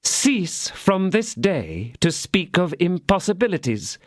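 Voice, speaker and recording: Male reader doing a vindictive noblewoman, scheming, measured, coaxing, raised voice. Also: high-pitched, very animated, no echo, studio quality, pitched above the performer's own voice, full character voice.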